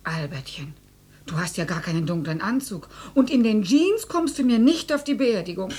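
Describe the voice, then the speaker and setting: A woman playing a mild, self-effacing dead mother who still talks, fussy and gently plaintive, in a German radio drama. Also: mid-range voice, sing-song, normal speed, breathy edge. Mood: nagging.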